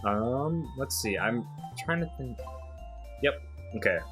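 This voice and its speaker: A man's voice: medium pitch, lively intonation, takes it slowly, fairly bright tone, soft background music. A man giving casual, chatty game commentary and explaining things like a fan. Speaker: let's play host.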